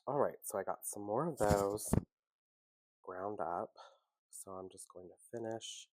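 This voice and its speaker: Guy with a low voice and a stuffed-up nose, sniffly and nasal, chatting casually while baking in his kitchen. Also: measured pace, no echo, low-quality recording. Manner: chatty, relaxed, self-deprecating asides. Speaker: home baking host. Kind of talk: casual cooking tutorial.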